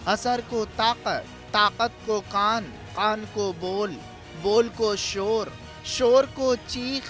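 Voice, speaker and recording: Man acting as wronged loudspeaker machine, plaintive, theatrical, rhetorical, very high, forceful, TV news monologue. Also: full character voice. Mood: dramatic, proud. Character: aggrieved talking loudspeaker, a machine pleading its innocence. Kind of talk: dramatic scripted monologue for TV news.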